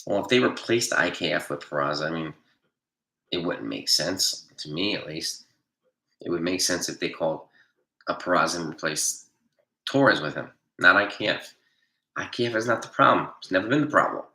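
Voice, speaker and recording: A man hosting a casual livestream, reading viewer comments and answering them bluntly, nasal from allergies and a cold and often clearing his throat. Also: low voice, animated, moderate pace, pushed and bright, roomy sound, low-quality recording. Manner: blunt, argumentative, defends his opinions. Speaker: livestream host.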